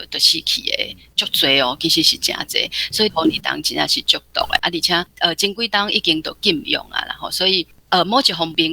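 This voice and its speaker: Guest on the radio musing about a novel, raspy gravelly voice, real dry close sound. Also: mid-range voice, sharp tone, dry recording. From Taiwan. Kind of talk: literary commentary.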